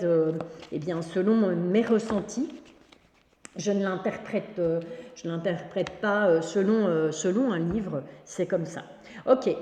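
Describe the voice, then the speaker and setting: A woman giving an informal tarot reading straight to the viewer, halting and repeating herself as she goes. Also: mid-range voice, mellow. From Belgium. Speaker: tarot reader and online host. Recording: echoey, reverberant room.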